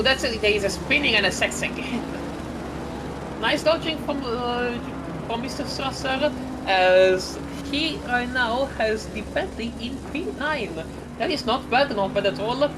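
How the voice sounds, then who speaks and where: Woman, Italian accent, sim racing commentator, casual play-by-play, lots of fillers, heavy engine noise behind. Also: medium pitch. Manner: chatty, many fillers and self-corrections. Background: loud game car engines.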